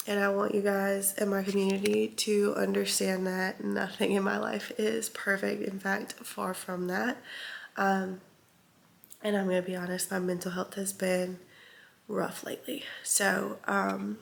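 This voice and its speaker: A woman's voice: medium pitch, creaky. A young woman, sick and congested, chatting casually and candidly to her vlog viewers in a stuffed-up, hesitant, meandering way. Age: young adult. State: sick with congestion and chest cough. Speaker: vlogger. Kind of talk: casual confessional vlog chat.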